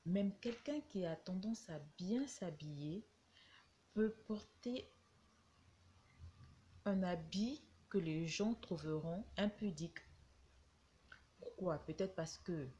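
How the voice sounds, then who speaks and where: Slow, low-voiced woman with a cold. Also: mellow. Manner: slow, reflective, with hesitations and repeated words. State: has a cold. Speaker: vlogger giving advice. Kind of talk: casual talk to camera.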